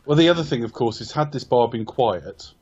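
A man's voice: medium pitch, expressive, a little fast, darkish tone, breathy edge. A man from Ireland hosting a casual film review podcast, rambling and wry, often correcting himself. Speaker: podcast host. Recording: dull lo-fi podcast audio.

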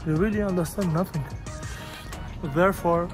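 A high-voiced man in his thirties talks bluntly and jokingly through a gym vlog. Music runs under him as he coaches and chats, speaking on a lapel mic in an open-air gym. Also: fairly even intonation, moderate pace. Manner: blunt, joking, non-native English. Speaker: vlogger and Muay Thai coach. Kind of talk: casual vlog talk.

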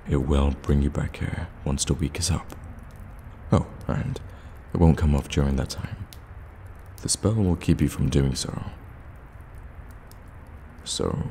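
A man playing an evil, sadistic warlock in an ASMR fantasy roleplay, teasing and menacing, often slipping into a breathy whisper. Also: rumbling low, slight background noise, full character voice. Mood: teasing, scheming. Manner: slow, teasing, drawn-out hums and dark chuckles.

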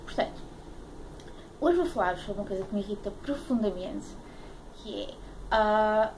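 A woman's voice: mid-range voice, moderate pace. A woman in her late twenties with a European Portuguese accent, talking casually to camera as a vlogger, hesitant and searching for words. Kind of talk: casual talk to camera, airing a pet peeve. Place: small room at home, indoors. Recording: clearly noisy home webcam audio.

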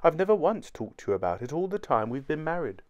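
A man's voice: fairly high pitch, very animated, quick pace, a little mellow, dull audio, pitched above the performer's own voice. A man voicing an earnest, confiding wife who speaks with emotion and tenderness in an audiobook story. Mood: nervous, confessing. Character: earnest, nervous wife confessing, then gushing with tenderness. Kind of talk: audiobook character dialogue.

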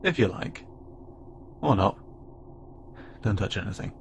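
Man playing a hesitant, low-voiced alien loner pilot. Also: expressive. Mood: gruff, reserved. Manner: hesitant, halting, stammering and self-doubting. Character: awkward, gentle insect-like alien pilot, a self-described loner. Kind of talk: intimate audio roleplay addressed to the listener. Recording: clearly noisy, with ambient sound bed.

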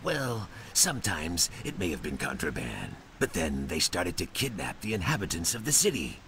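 Gravelly, very deep swaggering pirate. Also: male voice, huge pitch swings, moderate pace, sharp tone, slight background noise, exaggerated voice. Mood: defensive. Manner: brash, cursing. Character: gruff, swaggering, notorious pirate smuggler, irritable and boastful. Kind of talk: video game dialogue.

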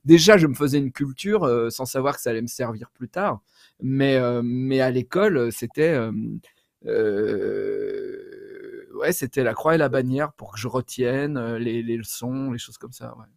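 A man in his forties chatting casually on a video call, self-deprecating and halting as he tells stories about himself. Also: medium pitch, vocal fry, a little mellow, no room sound, clean studio sound. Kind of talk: casual personal anecdotes, round-table chat. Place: small room at home, indoors.

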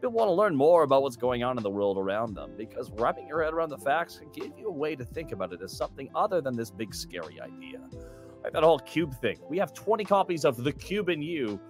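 A man's voice: medium pitch, big pitch swings, fast talker, faint music behind, lightly acted. A man voicing an earnest, chatty gnome assistant librarian who rambles eagerly through a fantasy newspaper interview. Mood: earnest, harried. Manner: rambling, eager, self-conscious joking.

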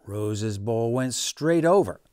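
A man in his sixties reading a children's story aloud expressively, in a measured, dramatic manner. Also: mid-range voice, expressive, a little mellow, clean tone, no room sound, studio quality. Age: 60s. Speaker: storybook reader. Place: living room at home, on a sofa.

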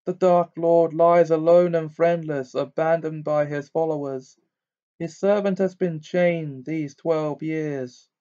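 A man voicing a dreamy, eccentric older woman who teaches divination, with a high, breathy, fussing manner. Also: mellow. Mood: harsh trance. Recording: dull lo-fi recording.

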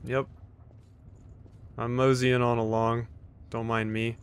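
A man's voice: medium pitch, little pitch movement, slow pace, slight background noise. Wry man doing casual game commentary. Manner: wry, joking asides. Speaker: let's play host.

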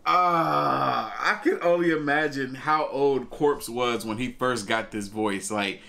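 Laid-back reaction host. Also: male voice, medium pitch, slow, vocal fry, sharp tone. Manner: laid-back, chuckling, talks to viewers. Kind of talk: casual reaction commentary. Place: home room with bookshelves and gaming chair.

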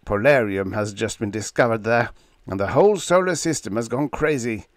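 Male reader doing an irascible old space skipper, loud and gruff. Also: on the low side, normal speed, no echo, close to an everyday voice. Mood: stern. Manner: roaring, gruff and blustering.

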